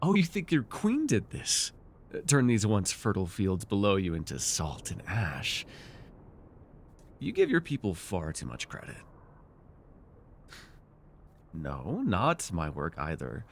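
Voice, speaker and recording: Casting: male actor for smug villainous sorcerer king in fantasy audio roleplay, lowish voice, highly varied intonation, seductive menace, noisy recording. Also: huge pitch swings, creaky, exaggerated voice. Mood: bitter, wry. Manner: theatrical, teasing, deliberate, with murmured asides and sudden force. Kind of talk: ASMR fantasy roleplay acting.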